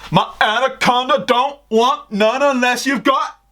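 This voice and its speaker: A man voicing an overeager, lovestruck teenage schoolboy from a story, in a gushing, drawn-out, exaggerated way. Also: very high-pitched, fairly even intonation, noisy background, pitched above the performer's own voice. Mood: crooning dramatically.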